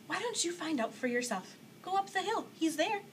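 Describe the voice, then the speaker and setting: A woman's voice: very high voice, big pitch swings, normal speed, a little hiss or hum, pitched above the performer's own voice. A woman voicing a carefree, friendly female wooden puppet in a storybook, breezy and encouraging.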